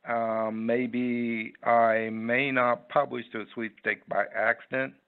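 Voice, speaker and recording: A man giving unscripted interview answers in a halting, rambling, folksy way over a phone line. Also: medium pitch, little pitch movement, clear, resonant tone. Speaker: interview guest, website owner. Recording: muffled, tinny phone line.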